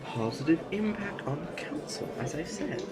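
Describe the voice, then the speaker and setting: A man playing an agreeable, hesitant nobleman in an audio drama, speaking in a halting, politely conciliatory way. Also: a little high, expressive, natural voice. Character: agreeable, hesitant male nobleman among scheming councillors. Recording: music under the dialogue.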